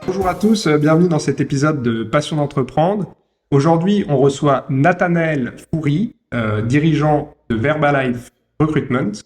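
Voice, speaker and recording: A man's voice: fairly high pitch, moderate pace, darkish tone, faint music behind. A man hosting an entrepreneurship interview podcast, greeting his guest and asking about his life in a friendly way, with frequent fillers. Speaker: podcast host. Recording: roomy echo.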